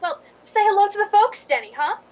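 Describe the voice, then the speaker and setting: Very high-voiced gushing movie diva. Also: female voice, lively intonation, brisk. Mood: breezy, showy. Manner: theatrical, gushing, affected. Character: spoiled, gushing Hollywood movie queen, grand diva. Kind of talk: radio drama acting.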